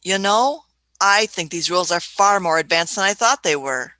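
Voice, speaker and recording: A woman's voice: on the low side, lively intonation, moderate pace, pushed and bright, no echo. A woman playing an eccentric, curious elderly time traveller who mutters and hums while she thinks, in an amateur radio play. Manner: muttering hmms, musing, hesitant restarts.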